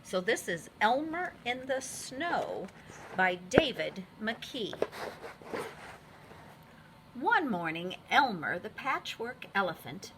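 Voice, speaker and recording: Female preschool teacher for picture-book reading: slowish, lively intonation, expressive, addressing small children. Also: fairly high pitch, background noise. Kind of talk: children's storybook narration.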